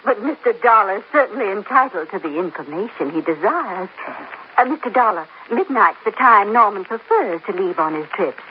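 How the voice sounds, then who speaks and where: A woman's voice: mid-range voice, lively intonation, normal speed, natural voice. A woman playing a genteel, nervous society mother. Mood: flustered. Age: middle-aged. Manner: refined, polite, flustered stammer. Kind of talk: 1950s radio drama acting. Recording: dull old radio recording with faint hiss.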